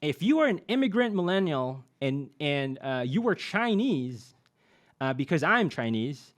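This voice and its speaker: A man's voice: on the high side, a little slow. A young adult man, a podcast guest and marketing expert, explaining in a conversational way with frequent fillers and a repeated "right?". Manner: animated, many fillers and tag "right?".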